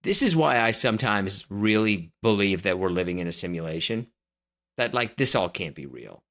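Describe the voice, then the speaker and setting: A man's voice: fairly low pitch, animated, normal speed, a bit bright. A man co-hosting a podcast, chatting casually and giving blunt, emphatic opinions. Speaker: podcast co-host. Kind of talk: casual chat.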